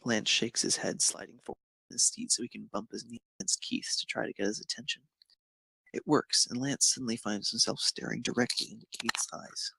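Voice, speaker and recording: Very gravelly, husky male narrator. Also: medium pitch, a little fast, sharp tone, dry recording, poor audio. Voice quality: creaky, husky. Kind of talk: expressive narration of romantic fan fiction.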